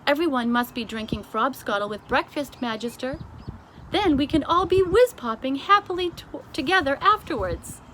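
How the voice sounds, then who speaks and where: Over clear background noise, a woman reader puts on a friendly storybook giant, pitched well up and full of exclamation. The giant bungles grammar and tumbles through invented words with childlike excitement. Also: normal speed. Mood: gleeful.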